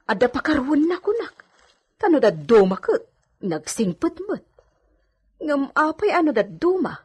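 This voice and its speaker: A woman's voice: high-pitched, big pitch swings, unhurried, a little mellow. A woman playing an outspoken, protective maidservant in a radio drama, speaking with an Ilocano accent. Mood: concerned.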